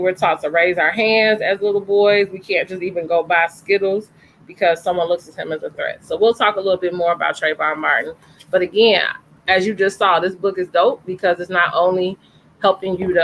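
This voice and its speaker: A woman's voice: fairly low pitch, a bit bright, slight background noise, poor audio. A woman hosting an online story time, recapping each page in a casual, encouraging way. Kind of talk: casual read-aloud with commentary.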